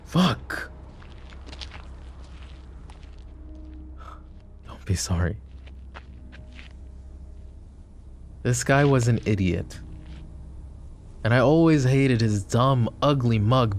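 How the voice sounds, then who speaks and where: A man playing a flirty, possessive mafia boss who is protective and menacing, in an intimate roleplay ASMR style with smooth-talking, controlled menace. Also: mid-range voice, unhurried, a little hiss or hum, natural voice. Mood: angry, threatening.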